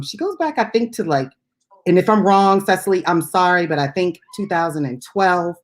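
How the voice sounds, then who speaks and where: A woman's voice: low voice, a little mellow. A woman co-hosting a casual music chat show as a DJ, talking in an informal, chatty and giggly way. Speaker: radio DJ and co-host.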